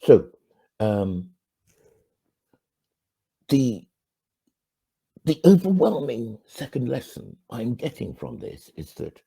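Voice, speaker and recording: A middle-aged man from Ghana, hosting his channel with an earnest, reflective monologue that swings from emphatic rises to quiet, low endings. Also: very animated, takes it slowly, gravelly, long pauses, slightly rough. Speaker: channel host.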